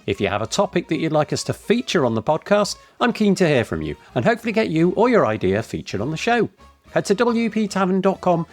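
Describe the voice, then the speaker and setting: A male podcast host with a lively, swooping delivery and a fairly high voice. He reads his introduction with plenty of movement in pitch, and faint music sits underneath. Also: fast. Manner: lively, rising and falling delivery. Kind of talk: scripted podcast intro and interview.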